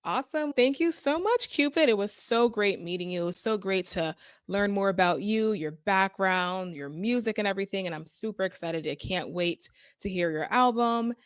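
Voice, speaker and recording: A woman's voice: mid-range voice, lively intonation, normal speed, clean tone, dry recording, studio quality. Bubbly woman interviewer, Northeastern accent. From Connecticut. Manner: bubbly, laughs often. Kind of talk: upbeat casual interview.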